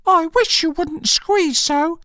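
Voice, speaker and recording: A woman voicing a small, sulky, sleepy male dormouse in a storybook, with a squeaky, peevish manner. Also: takes it slowly, bright, forceful tone, no echo, studio quality, exaggerated voice.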